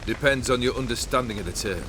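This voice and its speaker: A man's voice: medium pitch, quick pace, background noise, close to an everyday voice. An actor playing a cold, world-weary immortal nobleman in a video game, speaking with arrogant, sardonic, archaic phrasing. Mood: wry, world-weary. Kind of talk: video game character acting.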